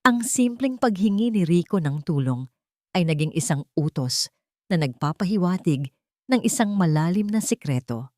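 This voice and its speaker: Low-voiced woman reading a drama. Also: lively intonation, moderate pace, a little mellow, no room sound, clean studio sound. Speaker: storyteller. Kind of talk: scripted narration of a love story.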